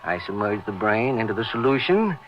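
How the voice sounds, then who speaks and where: A man's voice: lowish voice, big pitch swings, fairly quick. A man playing a concerned, deferential doctor and loyal colleague, earnest and polite, in old-time radio acting. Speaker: actor in a horror radio drama. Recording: muffled old radio drama recording.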